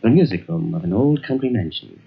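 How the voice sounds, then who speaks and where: Ominous, low-voiced radio horror narrator. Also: male voice, expressive, vocal fry. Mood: dramatic storytelling. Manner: ominous, dramatic, deliberate. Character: ominous male mystery host, macabre storyteller. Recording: dull old radio tape, loud music behind.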